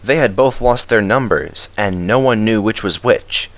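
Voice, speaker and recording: A man reading a children's story aloud in an expressive scripted narration style. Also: lowish voice, a little slow, no room sound.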